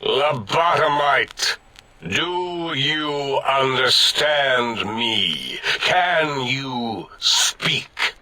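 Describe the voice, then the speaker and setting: A man voicing an irritable, bombastic mad-scientist brain in a machine for a video game, over-enunciating with indignation and a harsh rasp. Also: medium pitch, lively intonation, extremely slow, bright, forceful tone, dry recording, full character voice. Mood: slow, suspicious. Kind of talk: theatrical comic video game acting.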